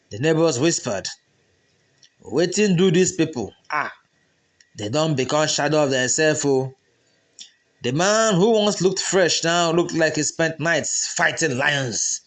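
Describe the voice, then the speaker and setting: A man with a Nigerian accent narrating a folktale in a dramatic storytelling style. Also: on the high side.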